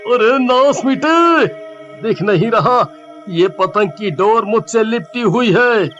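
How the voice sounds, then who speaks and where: Man as frightened villager, very high voice, slow pleading delivery, cartoon horror, dull recording over music. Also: expressive, fairly bright tone, full character voice. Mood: irritated, scared. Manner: whiny, pleading, exclamatory. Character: panicky, complaining village man. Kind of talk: comic horror cartoon story acting.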